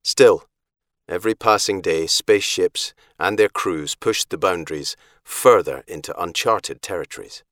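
A man giving a measured, solemn scripted audiobook narration. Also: low-pitched, fairly bright tone, no room sound, studio quality.

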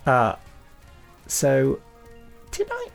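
A man's voice: mid-range voice, wildly swooping pitch, drawn-out words, gravelly, over music. A man hosting a podcast, chatting casually in a hesitant, rambling, self-correcting way. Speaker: podcast host; audio drama writer and director. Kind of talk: casual chat.

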